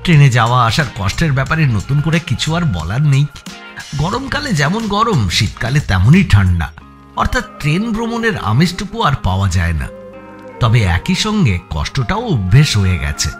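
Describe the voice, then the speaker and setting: A man with a West Bengal Bengali accent narrates a first-person suspense audiobook in an expressive, measured storytelling manner with deliberate pauses. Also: medium pitch. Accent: Bengali from West Bengal, India. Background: music.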